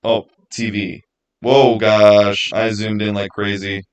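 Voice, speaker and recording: A man's voice: on the low side, little pitch movement, takes it slowly, smooth. A man chatting casually to viewers on a livestream, rambling and repeating words for emphasis. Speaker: YouTube creator and livestream host. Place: small home studio, indoors.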